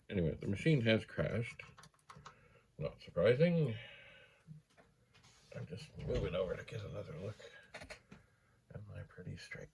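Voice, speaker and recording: A man with a deep, gravelly voice talks through a hands-on demonstration. He rambles casually, as if thinking out loud to himself. A light hum and background noise sit behind his voice. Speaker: host of a vintage computer video series. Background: gentle tape machine hum, clicking.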